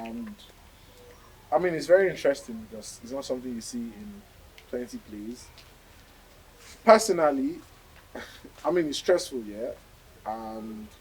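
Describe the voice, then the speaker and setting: A young man with a Nigerian accent answering interview questions as a student actor, haltingly and repeating himself. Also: medium pitch, a little mellow, slight background noise, poor audio. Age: young adult.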